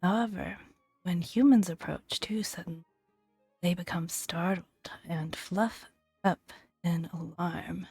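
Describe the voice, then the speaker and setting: A woman speaking softly in a breathy near-whisper, gently and unhurriedly, in an ASMR style with pauses between phrases as she reads game entries aloud. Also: lowish voice, fairly even intonation, no echo, soft background music. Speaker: gaming video host. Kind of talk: soft-spoken ASMR, reading game entries aloud with casual asides.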